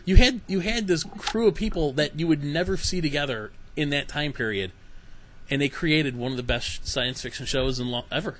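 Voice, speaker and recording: A man's voice: a little high, moderate pace, slight background noise. A man hosting a casual podcast, chatting eagerly and questioning his guests with frequent restarts. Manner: enthusiastic, asks guests questions, stammers restarts. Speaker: podcast host.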